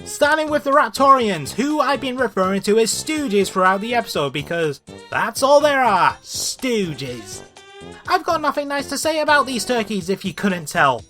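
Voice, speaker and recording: A woman presents a scripted comedic review in a bright, lively voice. Her pitch moves around a lot as she rants bluntly and swears with exasperation. Faint music plays behind her. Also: moderate pace. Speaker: host of a cartoon review show.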